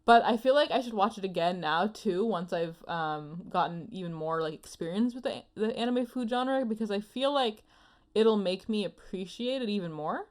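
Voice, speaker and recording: A woman's voice: medium pitch, lively intonation, normal speed. A woman co-hosting a podcast, chatting casually in an effusive, emphatic, rambling way. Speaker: podcast co-host. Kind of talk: casual opinionated chat.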